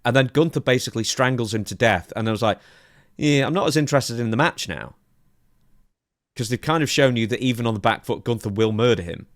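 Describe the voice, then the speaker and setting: A man's voice: mid-range voice, fairly quick, no room sound, studio quality. A man co-hosting a podcast, recapping events in a casual, chatty way. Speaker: podcast co-host.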